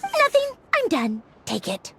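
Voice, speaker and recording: A woman's voice: very high voice, huge pitch swings, unhurried, heavy background noise, full character voice. A woman voicing a haughty, easily flustered young puppet in a video game, huffy and snappish. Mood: grumbling, dismissive. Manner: huffy, snappish, sputtering protests. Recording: loud game music over the voice.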